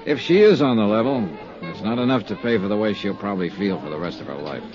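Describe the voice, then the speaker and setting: An American actor playing a world-weary insurance investigator who narrates a 1950s radio drama in a dry, terse, hard-boiled way. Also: male voice, on the low side, animated, quick pace, lightly acted. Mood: weary, wry. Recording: muffled vintage 1950s radio recording, music behind.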